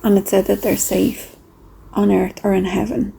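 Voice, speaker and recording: A woman speaking softly and reflectively with pauses, in a breathy, husky voice, as she leads a gentle guided meditation. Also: mid-range voice, little pitch movement. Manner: slow, soft, reflective, with pauses.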